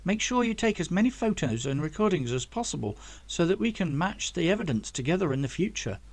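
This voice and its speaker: A man's voice: high-pitched, very animated, brisk, voice pushed up, heavily acted. A man voicing an inquisitive old male scientist, a fond uncle, with an eager, questioning manner in a storybook reading. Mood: instructing.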